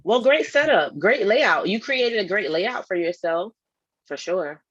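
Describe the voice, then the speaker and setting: A woman voice actor giving affirming feedback in a casual discussion, halting as she thinks aloud. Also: mid-range voice, animated, breathy edge, no echo, highs cut off.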